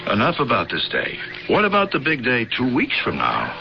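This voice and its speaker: An actor playing an earnest, enthusiastic young adult son in an old-time radio drama. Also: male voice, medium pitch, wildly swooping pitch, fairly quick, vocal fry, noisy background. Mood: eager. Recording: muffled old radio recording, loud music.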